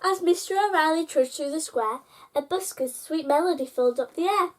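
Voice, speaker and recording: Female storyteller with a high-pitched voice for children's bedtime picture books, engaging young listeners directly. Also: a little fast. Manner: addresses child listeners with prompting questions. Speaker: host reading children's picture books aloud.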